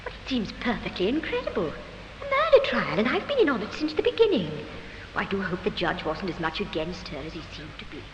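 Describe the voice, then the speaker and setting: A woman's voice: mid-range voice, huge pitch swings, fairly quick, background noise. An actress playing a gossipy, scornful society woman in a theatrical 1950s radio drama style. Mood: breathless gossip. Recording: old radio, reverberant room, music behind.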